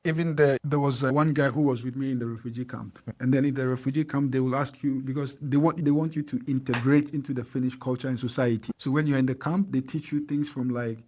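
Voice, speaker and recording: A man in his thirties from Ghana, a podcast guest telling his story in a lively, casual way, acting out conversations. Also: medium pitch, quick pace, hardly any pauses. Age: 30s. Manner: animated storyteller, acts out dialogue with laughs.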